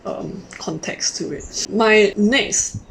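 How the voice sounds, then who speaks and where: A woman's voice: medium pitch, slow pace, a little hiss or hum. Chatty Singaporean woman explaining casually. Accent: Singaporean English. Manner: chatty and explanatory, many "like" fillers. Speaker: podcast co-host. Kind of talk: casual chat.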